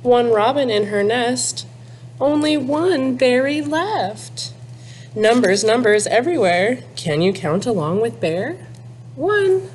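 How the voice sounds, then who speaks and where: A woman in her thirties, a teacher, reading a picture book aloud to young children in a sing-song, deliberate way with exaggerated emphasis. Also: on the high side, lively intonation, darkish tone, a little hiss or hum. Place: small classroom, indoors.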